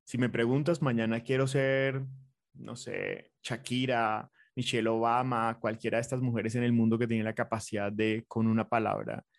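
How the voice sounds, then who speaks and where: A man's voice: mid-range voice, gravelly, dry recording. Colombian guy telling his story in an interview, earnest, lots of ums, dry close sound. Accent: Colombian Spanish. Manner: earnest, reflective, with many fillers and restarts. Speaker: fashion designer, interviewee. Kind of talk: conversational interview.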